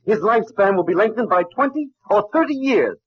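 A man's voice: high-pitched, animated, brisk. An actor playing a driven young male scientist in an old radio drama, intense and melodramatic. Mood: proud, eager. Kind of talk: 1940s radio acting. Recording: muffled, dry old radio recording.

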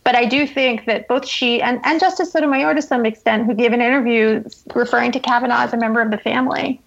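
High-voiced woman giving analytical commentary. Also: steady tone, fast, gravelly, dull audio. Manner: analytical, explanatory. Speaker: journalist guest. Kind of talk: conversational political commentary.

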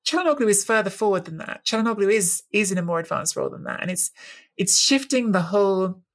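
A woman's voice: mid-range voice, animated, fast. A woman co-hosting a football chat, analytical and thoughtful, sometimes hunting for the right word. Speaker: football podcast co-host.

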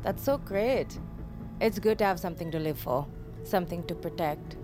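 A woman's voice: fairly low pitch, normal speed, over music, natural voice. A woman playing a polite, composed secret agency official in an audio drama, courteous and matter-of-fact.

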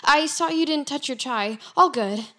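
High-voiced, anxious, guilt-ridden woman. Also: normal speed, fairly bright tone. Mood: apologetic, pleading. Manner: hesitant, apologetic, rambling. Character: anxious, guilt-ridden, obsessive woman office worker, self-justifying. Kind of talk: audio fiction acting with confessional narration. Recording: music bed under the voice.

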